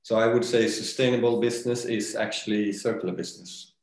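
A man who founded a brand, talking as a guest in a casual interview, halting and restarting his sentences as he thinks. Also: on the low side, level tone, a crowd in the background. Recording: roomy echo.

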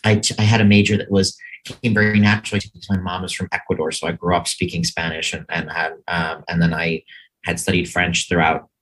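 Low-voiced New man, rambling. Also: level tone, a little fast, a bit bright, poor audio. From New York. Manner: rambling, many ums and restarts. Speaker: guest, media sales executive. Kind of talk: casual interview chat. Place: office.

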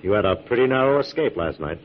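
An actor playing a calm, gallant scientist crime-fighter in 1940s radio drama style. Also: male voice, lowish voice, quick pace, lightly acted. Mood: earnest, explanatory. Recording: muffled, noisy 1940s radio recording.

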